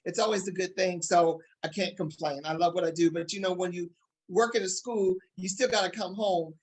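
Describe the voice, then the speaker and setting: Excited male talk show host. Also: high voice, brisk. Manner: excited, emphatic, addresses the audience. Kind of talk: upbeat show hosting.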